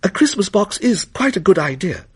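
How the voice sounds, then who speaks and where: Ghost story mother, acted by a man: raised pitch, swooping delivery, anxious, placating, trying to keep control. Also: big pitch swings, normal speed, slightly rough, heavily acted. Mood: composed, placating. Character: anxious wife and mother, placating, self-possessed turning pleading. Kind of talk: dramatic ghost story reading.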